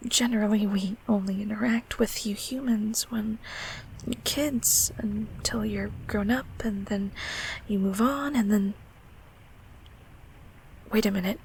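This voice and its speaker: A woman's voice: medium pitch, slow, gravelly, long pauses, slight background noise, poor audio. A woman voicing a nervous, kindly childhood closet creature in an audio roleplay, stammering and apologetic as she speaks to the listener. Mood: hushed, concerned. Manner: flustered, stammering, repeating words.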